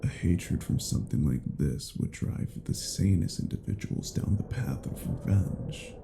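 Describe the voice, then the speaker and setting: A man playing a cruel, haughty vampire in a dramatic roleplay, speaking in a deliberate, menacing and condescending way. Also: sits low, expressive, gravelly, mellow, a little hiss or hum, full character voice. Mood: intrigued, probing. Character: cruel, haughty male vampire toying with his captive. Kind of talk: dramatic roleplay audio acting.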